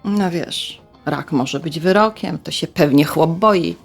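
An actress playing a chatty, warm-hearted middle-aged mother in a radio drama, with colloquial, expressive speech. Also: sits low, slow, gravelly, faint music behind, exaggerated voice. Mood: resigned. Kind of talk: radio drama dialogue, conversational.

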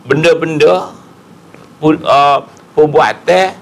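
An older man with a Patani Malay accent, telling stories in a relaxed TV studio interview on a lapel mic. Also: a little high, takes it slowly, creaky, a little hiss or hum. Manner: animated, gesturing, folksy storytelling. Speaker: guest. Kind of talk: casual conversational interview. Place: TV studio set, on a couch.

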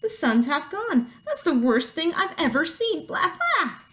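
A woman voicing a stubborn, excitable goat in a storybook, with a scoffing, bleating manner. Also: extremely high pitch, a little slow, pitched above the performer's own voice, heavily acted. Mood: horrified. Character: stubborn, indifferent goat, excitable once alarmed.

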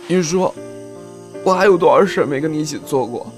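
A man playing an earnest, affectionate young man speaking softly to his partner. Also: a little high, a little fast, natural voice. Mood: tender. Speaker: lead character. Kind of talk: audio drama acting. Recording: music playing under the voice.

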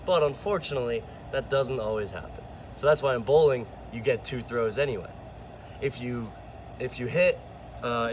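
A young American man coaches kids in a casual instructional video. His voice sits at an average male pitch, recorded on a phone outside in a backyard with faint ambient noise behind him. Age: 20s. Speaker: sports coach. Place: outdoor backyard. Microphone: phone, at arm's length, then farther away.

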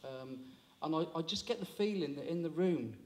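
A man in his sixties with a fairly high voice holds a handheld mic on a small town hall stage. He chats and teases the audience in easy stand-up crowd work. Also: normal speed, a little mellow. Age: 60s. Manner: chatty, teasing, audience banter. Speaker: comedy compere. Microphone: handheld microphone.

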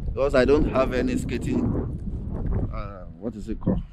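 A man's voice: mid-range voice, normal speed. A man in his thirties from Nigeria, vlogging outdoors in a snowy field with a handheld camera, chatting and exclaiming to his viewers. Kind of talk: casual vlog chat. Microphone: action camera held close. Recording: music bed and wind noise.

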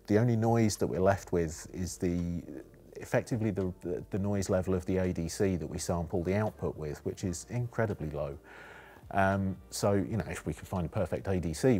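A man's voice: sits low, darkish tone. A man giving an enthusiastic, technical explanation of a product as an engineer in an interview. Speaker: acoustic engineer presenting a product.

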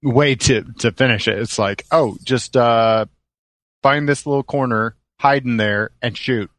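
A man hosting a podcast, talking casually about video games in a halting, rambling way. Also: medium pitch, fairly bright tone, no room sound. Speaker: podcast host.

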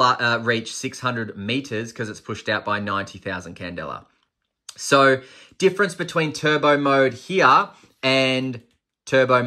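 Chatty man with a bright voice. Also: mid-range voice. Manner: chatty and enthusiastic, thinking aloud. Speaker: flashlight reviewer. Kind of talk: casual product review. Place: workshop desk indoors, then outdoors at night.

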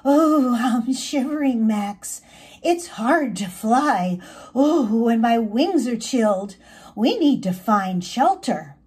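A woman voicing a young female monarch butterfly, sweet and excitable, with a gushing, expressive delivery. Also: fairly high pitch, slow, slightly rough, heavily acted. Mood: shivering, fretful. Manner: gushing, wide-eyed, expressive.

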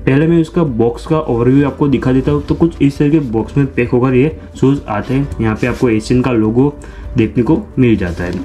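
A man's voice: medium pitch, fairly quick, warm tone, soft background music. A young man hosting his own unboxing channel, walking viewers through a product in a repetitive, explanatory way and calling them friends. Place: small room indoors.

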